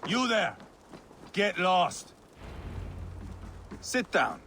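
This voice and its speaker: An actor playing a brash, bloodthirsty, mocking Viking warrior with a theatrical, boastful manner in a video game cutscene. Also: male voice, high-pitched, huge pitch swings, drawn-out words, bright, forceful tone, a little hiss or hum, exaggerated voice. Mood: barking. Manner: theatrical, boastful, swinging between teasing and menace.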